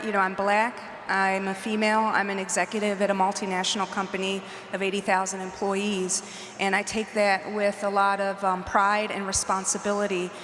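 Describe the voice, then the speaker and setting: Woman executive on a panel, even, steady delivery with little pitch movement, conference hall reverb. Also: slight background noise. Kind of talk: panel discussion. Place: large conference hall stage. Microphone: handheld mic. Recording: roomy hall reverb, faint ambience.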